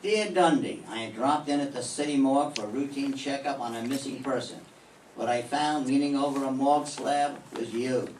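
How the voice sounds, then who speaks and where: A hard-boiled private eye, played by an older man in an old-time radio drama, dry and sardonic. His voice sits mid-pitched and comes from across a reverberant room, with a faint hiss underneath. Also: normal speed, a little mellow, far from the mic, close to an everyday voice. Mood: narrating. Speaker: amateur radio play performer. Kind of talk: 1940s radio acting.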